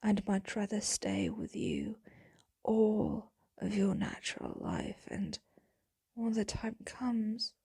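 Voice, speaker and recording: Woman acting a whispering, breathy, lovesick vampire. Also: medium pitch, wildly swooping pitch, dark tone, no echo, studio quality, exaggerated voice. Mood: devoted, melancholy. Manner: slow, intimate, tender, breathy delivery. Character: devoted, melancholy ageless female vampire lover. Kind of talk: whispered ASMR roleplay monologue.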